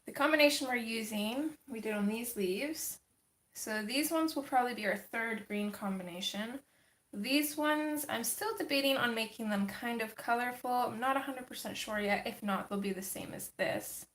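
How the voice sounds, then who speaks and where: Slightly gravelly woman streamer chatting w viewers, highish voice, echoey room. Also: normal speed, a bit bright. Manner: chatty, reads and answers chat comments. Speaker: livestream host. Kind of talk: casual livestream chat while coloring.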